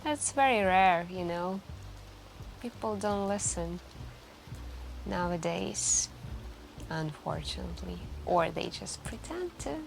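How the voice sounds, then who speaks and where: A woman playing a mysterious, lonely late-night café stranger in an intimate ASMR roleplay, soft-spoken and wistful, musing hesitantly with lingering pauses. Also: fairly low pitch, expressive, vocal fry, exaggerated voice. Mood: shy, intimate. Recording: background music.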